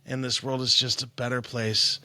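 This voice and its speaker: A man's voice: mid-range voice, little pitch movement, moderate pace, pushed and bright, no room sound. A man hosting an interview, easygoing and friendly, with lots of casual agreement. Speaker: podcast host.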